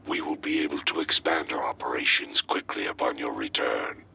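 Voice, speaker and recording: An actor playing a cool, calculating crime lord's lieutenant in a video game, deep and gravelly, reporting formally and in measured phrases. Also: male voice, sharp tone, heavily acted. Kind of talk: dramatic video game acting.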